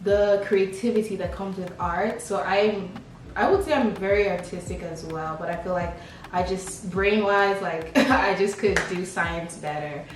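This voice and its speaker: A woman's voice: medium pitch, a little slow, slight background noise. A young woman from Lagos, chatting casually and playfully as she answers questions about herself for a vlog. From Lagos, Nigeria. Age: young adult. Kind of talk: casual chat. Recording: echoey room, distant mic, background beat.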